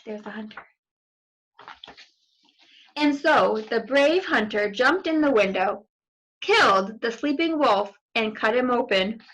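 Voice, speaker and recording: A woman's voice: a little high, lively intonation, slow pace, long pauses, fairly bright tone, rough recording. A woman reading a children's story aloud expressively, with asides to young listeners. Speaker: storybook reader.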